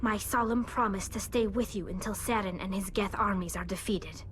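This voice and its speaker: A woman's voice: mid-range voice, normal speed, a bit bright, slight background noise, lightly acted. A woman voicing an earnest, loyal young alien woman engineer who speaks formally and from the heart. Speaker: crew member.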